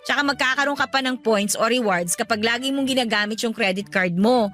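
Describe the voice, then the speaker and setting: A woman playing a lively, persuasive shopaholic friend in a radio drama, animated and coaxing. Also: fairly high pitch, a little fast, close to an everyday voice.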